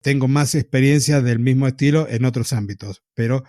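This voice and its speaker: An Argentine man with a warm, relaxed voice tells his own story at an easy pace. He sounds reflective, pausing now and then as he remembers. The sound is close and dry, with no room around it. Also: mid-range voice, dry recording. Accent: Argentine Spanish. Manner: reflective, unhurried, with pauses and soft laughs. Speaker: podcast host telling his own story. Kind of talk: casual storytelling in an interview.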